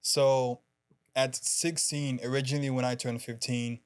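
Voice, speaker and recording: A man in his twenties from Haiti, a podcast guest who talks softly in casual chat and laughs often. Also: mid-range voice, level tone, measured pace, clear, resonant tone. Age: 20s. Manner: soft-spoken, laughs often, hesitant fillers.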